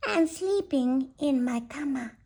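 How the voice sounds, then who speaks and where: A woman's voice: high voice, expressive, slow pace, vocal fry, warm tone, pitched above the performer's own voice, exaggerated voice. A woman voicing small, indignant chihuahua friends in a storybook, complaining in an exaggerated way.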